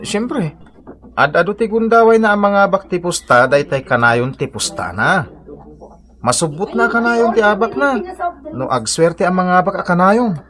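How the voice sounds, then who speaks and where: A man's voice: high voice, sing-song, moderate pace, a bit bright. An insecure young Ilocano boyfriend in a radio drama. He is earnest and a little hesitant, speaking in a conversational acted style. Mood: explaining.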